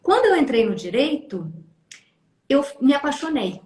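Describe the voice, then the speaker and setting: A Brazilian woman in her fifties, a therapist telling her life story in an interview, lively and expressive, often tagging phrases with a questioning aside. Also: high-pitched, moderate pace. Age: 50s. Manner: animated, acts out quoted voices, frequent "né?". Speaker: guest, family constellation therapist. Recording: echoey room.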